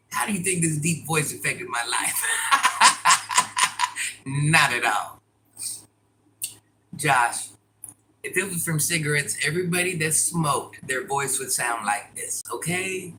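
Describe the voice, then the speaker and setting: A middle-aged woman with a deep voice, chatting casually and bluntly as she answers viewer comments in a livestream. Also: pushed and bright, a little hiss or hum, low-quality recording. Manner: blunt, sassy, talks straight to commenters.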